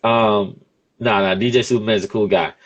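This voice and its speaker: Deep-voiced man in his thirties, informal talker. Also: steady tone, a little fast, a bit bright, muffled recording. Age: early 30s. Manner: informal, peppered with "you know what I'm saying". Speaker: podcast host being interviewed. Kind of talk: casual conversational interview talk.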